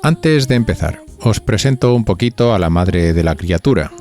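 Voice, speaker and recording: A man with a fairly low voice and an accent from Spain hosts a gossip podcast. He narrates from a script in a chatty, playful way, confiding directly in the listener. Music plays lightly behind him. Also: expressive, moderate pace. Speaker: podcast host.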